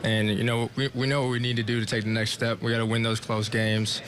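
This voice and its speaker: A young man, a college basketball player, answering interview questions haltingly with many fillers. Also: medium pitch, little pitch movement, fairly bright tone. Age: young adult. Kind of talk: media day interview answers. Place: media day event.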